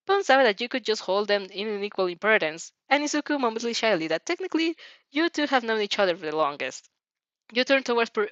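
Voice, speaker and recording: A woman narrating fan fiction in an animated, informal way, stumbling now and then as she reads. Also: mid-range voice, dry recording, lo-fi sound. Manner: giggly, stumbles over reading.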